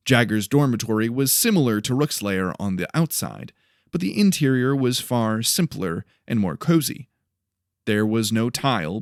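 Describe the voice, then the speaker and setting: A male audiobook narrator reads scripted fantasy prose. His voice sits at a middling pitch, and he delivers descriptive storytelling. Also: no echo, clean studio sound.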